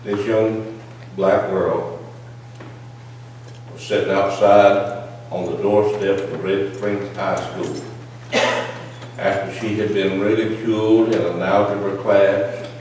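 A man's voice: sits low, fairly even intonation, takes it slowly, pauses often, darkish tone, a little hiss or hum. A man in his sixties speaking at a church town hall. His talk builds into emphatic, preacherly declarations. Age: 60s. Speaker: audience member at a town hall. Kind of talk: impassioned public speech. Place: large church sanctuary. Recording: echoey church hall from far off.

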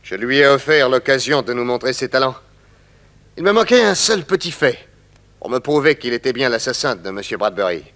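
An actor playing a shrewd, self-assured detective in a radio serial, with formal, precise diction. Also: male voice, mid-range voice, sing-song, fairly quick, fairly bright tone. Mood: triumphant, methodical. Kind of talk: old French radio serial acting.

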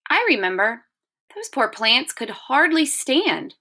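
A woman reading as an earnest, weary storybook dad, high-pitched. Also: sing-song, slow, sharp tone, exaggerated voice. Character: tired, apologetic dad and town gardener in a storybook.

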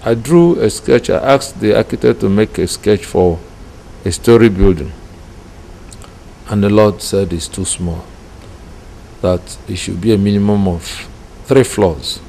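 A middle-aged Nigerian man with a Nigerian accent, a preacher giving personal testimony deliberately, phrase by phrase. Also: lowish voice, mellow, rough recording. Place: indoor room with curtains. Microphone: microphone close in front. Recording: clear background noise.

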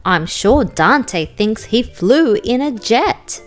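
An Australian woman with a fairly high voice reads a children's storybook at a very slow pace. She narrates expressively in careful rhyme over a music bed. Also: expressive. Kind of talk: children's picture book read aloud, scripted rhyming narration.

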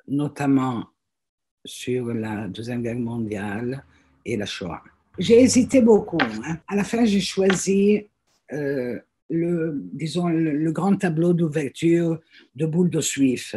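A woman's voice: a bass voice, mellow, slightly rough. A woman in her seventies, a native French speaker, introducing herself on a video call with frequent fillers and restarts. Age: 70s. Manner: hesitant, many fillers and restarts. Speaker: teacher and guest reader. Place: small room at home, indoors. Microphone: laptop webcam on a video call.

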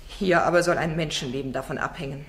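An actress playing an ironic, insistent defence barrister in a radio play, speaking formally and rhetorically. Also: female voice, deep voice, fast talker, fairly bright tone, slight background noise. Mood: impassioned pleading. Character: sharp, eloquent female defence barrister, probing and ironic. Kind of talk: German radio play acting.

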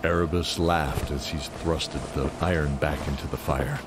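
A man's voice: very deep voice, fairly even intonation, normal speed, noisy background. A man narrating a fantasy audio drama in a scripted, dramatic storytelling style.

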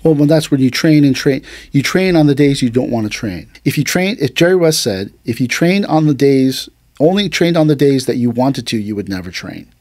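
A man's voice: medium pitch, expressive, a little fast, hardly any pauses, a little mellow, dry recording. A man talks shop about martial arts training in an easy, meandering way, full of "you know" and "like." His voice sits in a middle register and sounds dry and close to the microphone. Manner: loose storytelling with many fillers, acts out quotes. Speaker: aikido teacher and podcast host. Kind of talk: casual podcast conversation.

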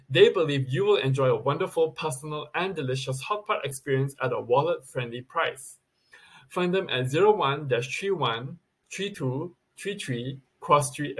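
Man, husky voice, scripted countdown narration, webcam at a desk, plain room. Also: fairly high pitch, low-quality recording. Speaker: video presenter.

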